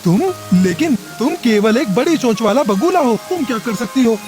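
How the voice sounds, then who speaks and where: A man as a high, swooping dramatic cartoon figure. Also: animated, moderate pace, a little mellow, soft background music. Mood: doubtful. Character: male pigeon father, also solemn god of death. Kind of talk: children's animated moral story acting.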